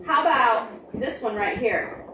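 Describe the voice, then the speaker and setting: High-voiced woman leading preschool storytime, roomy sound. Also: lively intonation, a little slow, noisy background. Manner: simple repeated prompts and questions to children. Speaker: preschool storytime leader at a library. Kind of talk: interactive instruction to small children. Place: indoor library storytime room. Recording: roomy, distant mic, music in background.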